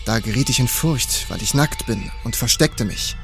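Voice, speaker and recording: A man's voice: medium pitch, expressive, moderate pace, soft background music. A man giving a formal reading of scripture passages from a prepared text. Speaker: reader of scripture quotations.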